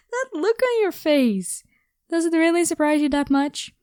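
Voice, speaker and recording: A woman's voice: very high-pitched, animated, slow pace, a little mellow, dry recording, clean studio sound, exaggerated voice. A woman playing a caring, protective young vampire in an ASMR audio roleplay, talking casually and reassuringly. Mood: playful, secretive.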